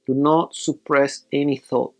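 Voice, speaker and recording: A man leading a guided meditation as a teacher, giving measured instructions in short, separated phrases. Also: mid-range voice, level tone, darkish tone, faint music behind.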